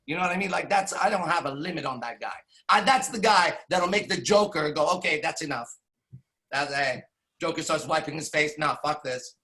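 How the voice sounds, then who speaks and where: A man's voice: fairly high pitch, a little fast, pushed and bright. A man in his forties telling a rambling, profane personal story, full of restarts and tag questions. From Iran. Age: 40s. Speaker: podcast host. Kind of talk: candid storytelling monologue.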